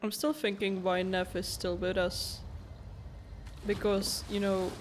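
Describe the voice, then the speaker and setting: A woman's voice: medium pitch, flat delivery, measured pace. A woman giving casual commentary on her video game playthrough. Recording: game music behind.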